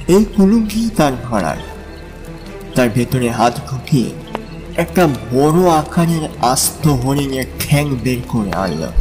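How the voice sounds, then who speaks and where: A man reads a dramatic audio story in a mid-pitched voice with long reverb. His delivery is slow, measured and suspenseful, and faint music plays underneath. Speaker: narrator. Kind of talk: dramatic literary audiobook narration.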